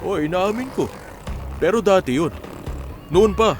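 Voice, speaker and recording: Shrewd village man, Filipino accent, slightly high pitch, radio drama, faint music. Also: animated, measured pace, darkish tone. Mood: firm warning.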